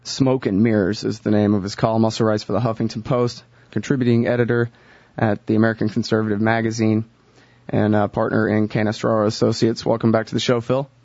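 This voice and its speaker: A man's voice: fairly low pitch, flat delivery, a little fast, darkish tone, dry recording, dull audio. A man hosting a radio interview, asking probing questions in a casual way with some fillers. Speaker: radio show host.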